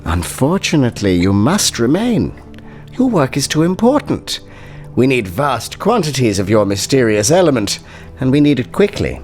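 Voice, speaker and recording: A man plays a powerful, coolly menacing doctor in an audio drama. His delivery is measured and condescending, with very wide melodic swings in pitch. A little music plays beneath him. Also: very animated, a little mellow, natural voice. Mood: calm, calculating. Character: smooth, menacing, powerful male doctor interrogating and pronouncing.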